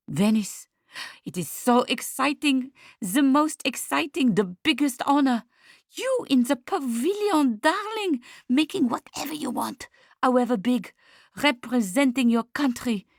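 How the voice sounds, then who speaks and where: Bossy excitable art agent lady, done by a female narrator, emphatic stilted phrasing, audiobook, raised pitch, dry sound. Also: no echo, exaggerated voice. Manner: emphatic, commanding, slightly stilted non-native phrasing.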